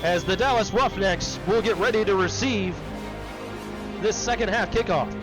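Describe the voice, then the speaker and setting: A man calling play-by-play for a simulated football game, brisk and excitable, joking about his own voice. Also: high-pitched, music in the background. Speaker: play-by-play commentator. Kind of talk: play-by-play sports commentary.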